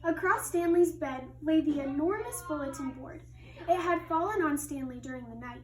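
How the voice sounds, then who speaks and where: High-voiced woman teacher reading, in an echoey room. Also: normal speed. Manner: expressive, teacherly. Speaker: second grade teacher. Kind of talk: read-aloud of a children's story with asides to pupils. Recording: roomy echo, distant mic, faint noise.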